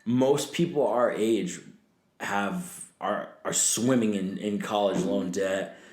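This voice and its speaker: A man in his twenties chatting loosely on a podcast, his voice a little low, filled with fillers and curses. The room echoes around him. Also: slow, a little mellow. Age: 20s. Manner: loose, swearing, laughing, trailing fillers. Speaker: podcast guest. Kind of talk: casual chat.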